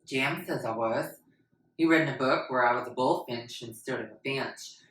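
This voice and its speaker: A woman's voice: rumbling low, normal speed, a bit bright. A woman voicing an earnest, outspoken little schoolgirl in a storybook reading, protesting in a plain-spoken way. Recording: echoey, distant mic, faint noise.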